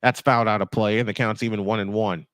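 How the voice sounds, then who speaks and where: A man's voice: fairly low pitch, steady tone, fast, fairly bright tone, no room sound, clean studio sound. A man doing live baseball play-by-play on a stream, mixing in casual chat and rambling as he thinks aloud.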